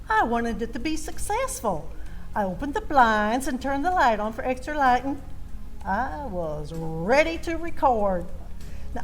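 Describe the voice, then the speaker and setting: A woman with a Southern US accent and a high voice tells a comic tale as if on stage. Her delivery is folksy and theatrical, and music plays behind her. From Georgia, United States. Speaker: storytelling contestant. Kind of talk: comic first-person storytelling on stage.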